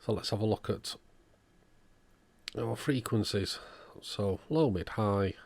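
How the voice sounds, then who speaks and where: Low, creaky-voiced man explaining repairs. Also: takes it slowly, no room sound. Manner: methodical, step-by-step. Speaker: electronics repairer, channel host. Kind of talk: hands-on repair demonstration narration.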